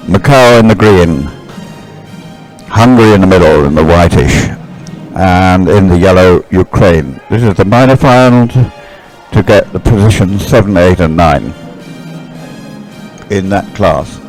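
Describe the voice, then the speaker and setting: Low-voiced man commentating on a race. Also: expressive, a little slow, a little mellow, faint music behind, rough recording. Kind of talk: live sports commentary.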